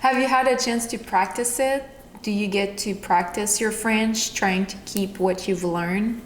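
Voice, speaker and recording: Casting: female interviewer, mid-pitched voice, reflective and conversational, recorded in a roomy space with faint noise. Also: steady tone, a little slow. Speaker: podcast host. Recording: echoey room, faint background noise.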